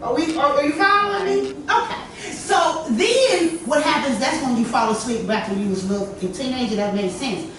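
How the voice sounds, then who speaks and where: A woman hosting a workshop, telling stories to a small group in a lively, chatty way, with a lot of "you know.". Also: high voice, moderate pace, few pauses, far from the mic. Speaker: workshop host. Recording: echoey room, from afar, noisy.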